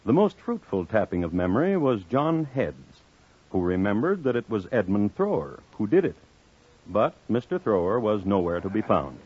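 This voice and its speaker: A man hosting and narrating a 1950s radio crime story in a dry, wry, measured storytelling manner. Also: fairly low pitch, sing-song. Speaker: host and narrator. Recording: dull 1950s radio recording.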